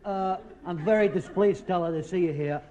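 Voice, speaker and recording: Man playing a dim, halting young tough, high-voiced. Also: close to an everyday voice. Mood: displeased. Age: young adult. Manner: halting, stammering, slang-heavy menace. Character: dim young male dance-hall tough, belligerent boyfriend. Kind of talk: 1950s radio comedy acting. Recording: noisy old radio recording.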